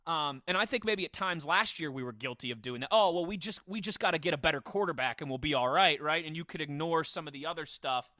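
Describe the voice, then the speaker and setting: A man's voice: on the high side, fast, few pauses, pushed and bright, no room sound, clean studio sound. A man hosting a casual sports podcast, talking conversationally. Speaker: podcast host.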